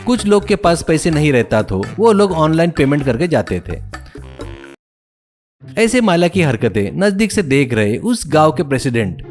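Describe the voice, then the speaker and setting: A man's voice: a little high, big pitch swings, normal speed, a little mellow, soft background music. A man narrating a scripted comic cartoon story.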